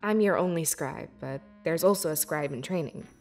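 A woman playing a young, devoted beast-folk scribe in a fantasy video game, with earnest, measured and expressive delivery. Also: deep voice, soft background music. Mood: explanatory, friendly. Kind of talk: scripted video game character dialogue.

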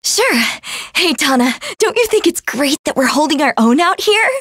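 A woman's voice: high-pitched, animated, normal speed, fairly bright tone, dry recording, studio quality. A woman voicing a sweet, innocent, bubbly young fairy girl in an anime game. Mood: cheerful. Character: girlish, cheerful, sweet fairy little sister.

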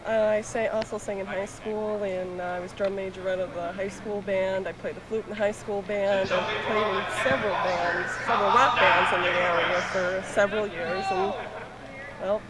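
A woman's voice: mid-range voice, moderate pace. A woman singer answering interview questions on a ball field, modest and a little nervous. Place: outdoor baseball field. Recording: loud background noise on camcorder.

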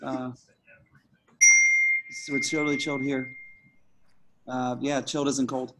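A man acts out an awkward young slacker, smitten and a little dim. He speaks haltingly at a medium pitch, tripping over his words with nervous earnestness, as in a casual movie script read-through. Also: little pitch movement, heavy background noise, close to an everyday voice. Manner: halting, stammering, hesitant. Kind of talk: amateur screenplay table reading. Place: online group video call.

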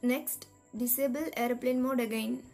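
A woman reading a scripted step-by-step tech tutorial in a measured, instructional manner. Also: a little high, fairly even intonation, vocal fry, dark tone. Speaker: tutorial narrator. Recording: voice-over with music behind. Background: background music.

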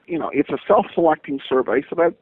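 Halting man on a tinny phone-like line. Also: fairly high pitch, lively intonation, slightly rough, dry recording. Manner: halting, hesitant, stop-start delivery. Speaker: podcast host being interviewed as guest. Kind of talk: conversational interview answers.

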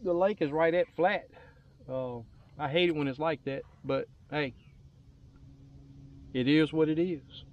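A middle-aged man giving casual, unhurried, rambling fishing commentary in a folksy way, outdoors on a boat. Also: on the high side, highs cut off. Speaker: angler hosting a fishing vlog. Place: open boat on a lake, outdoors.

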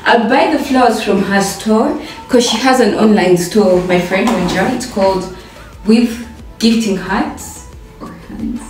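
A chatty young woman talks through getting ready, playful and prone to repeating herself. Her voice bounces off hard bathroom tiles and sits back from the camera. Soft music plays underneath. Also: mid-range voice, measured pace. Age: young adult. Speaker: vlogger and home baker. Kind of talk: casual get-ready-with-me vlog chat. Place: bathroom with tiled walls and glass shower. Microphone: camera set back across the room. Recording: echoey bathroom, heard from a distance, soft music.

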